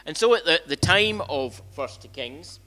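A man's voice: fairly high pitch, animated, measured pace, sharp tone, faint music behind. An older man preaching a conversational sermon as a minister in a church, often restarting and repeating phrases and posing rhetorical questions. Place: church sanctuary, indoors. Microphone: gooseneck lectern microphone.

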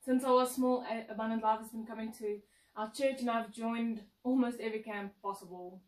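A woman's voice: on the high side, fairly even intonation, brisk. Late-teen woman, South African accent, playful; set-back mic in echoey room. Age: late teens. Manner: warm, playful, emphatic. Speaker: co-presenter being interviewed. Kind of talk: casual interview chat. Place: indoor lounge set, seated on couch. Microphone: far camera mic. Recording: roomy echo, distant mic.

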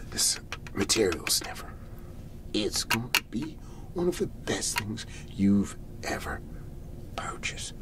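A man playing a folksy, excitable garage-sale salesman in an ASMR roleplay, whispery and breathy, rambling and repeating his hard sell. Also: lowish voice. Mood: coaxing, insistent. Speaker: host playing a character.

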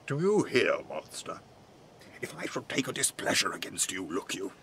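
An actor playing a drunken, self-important butler, pompous and aggrieved in a Shakespearean audio drama. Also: male voice, on the low side, sing-song, moderate pace, fairly bright tone, a little hiss or hum.

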